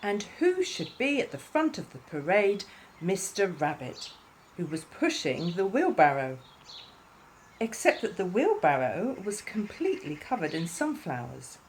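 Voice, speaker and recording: A woman reading a scripted children's story in an expressive, measured way. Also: fairly low pitch, a little hiss or hum.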